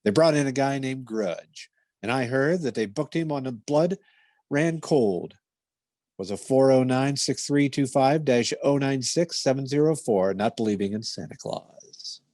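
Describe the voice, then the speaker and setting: An actor playing a stoic, no-nonsense police detective in a 1950s-style radio crime parody, deadpan, clipped and matter-of-fact. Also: male voice, mid-range voice, measured pace, no echo, clean studio sound, natural voice. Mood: deadpan narration. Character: stoic, no-nonsense male police detective narrating his case.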